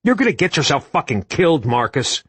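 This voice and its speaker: A man's voice: mid-range voice, big pitch swings, moderate pace, breathy edge, no room sound. A male narrator voicing a frustrated male soldier scolding a comrade in raised, exasperated tones.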